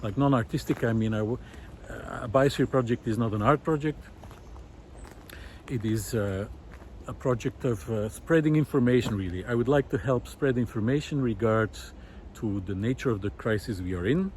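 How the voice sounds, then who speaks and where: A man delivers a reflective, unscripted vlog monologue in a mid-pitched voice. His phrasing is earnest and halting, with frequent hesitations as he thinks aloud. He is recorded outdoors in a park, with noticeable ambient and vehicle noise behind him. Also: a little mellow. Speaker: vlogger, former visual artist.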